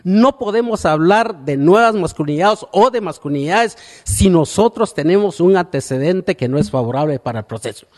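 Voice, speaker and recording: A man wrapping up a conference presentation, pausing on fillers as he searches for words. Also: sits high, lively intonation, darkish tone, big-room sound. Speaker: conference speaker. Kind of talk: closing remarks of a presentation.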